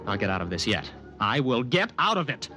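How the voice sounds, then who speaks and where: A haunted, obsessive young man telling his story in 1950s radio style. His mid-pitched voice moves restlessly up and down, agitated and repetitive. Music plays behind him on the old recording. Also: big pitch swings, unhurried, bright, forceful tone. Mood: anxious resolve. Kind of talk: 1950s radio acting, first-person narration.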